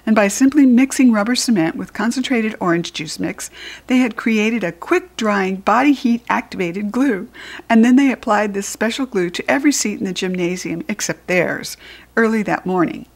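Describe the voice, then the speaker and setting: An American woman reading a children's book aloud as a storyteller, expressive and with deliberate emphasis for young listeners. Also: mid-range voice, gravelly. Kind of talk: animated children's audiobook narration.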